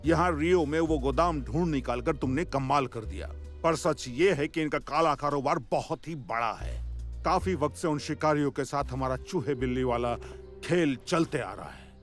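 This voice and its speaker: A man voicing a middle-aged, jovial but stern police chief in a Hindi cartoon dub, expressive and authoritative. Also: on the high side, moderate pace, natural voice. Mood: serious, warning. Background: music.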